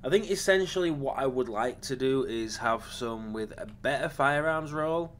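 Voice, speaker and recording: A man hosting a gaming channel, casually talking through his game build. His voice is slightly raspy from a lingering cough, and he rambles, with frequent ums and self-corrections. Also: mid-range voice, lively intonation, normal speed, slight background noise.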